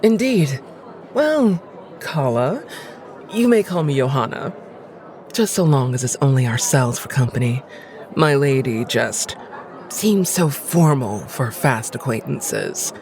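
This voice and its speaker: A woman playing a haughty, cynical noblewoman in an audio drama, with an arch, aristocratic and deliberate manner. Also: very deep voice, animated, a little mellow, some chatter behind, full character voice. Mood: gracious, teasing. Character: haughty, cynical, insistent noblewoman, lady of the house.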